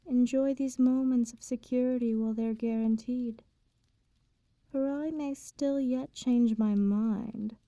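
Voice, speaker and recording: A woman playing an immortal vampire in an ASMR roleplay, speaking softly and formally, with condescending tenderness and quiet menace. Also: on the high side, steady tone, dry recording, close to an everyday voice. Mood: tender, menacing. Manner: formal archaic diction, unhurried, teasing. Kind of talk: slow ASMR roleplay, intimate bedtime storytelling.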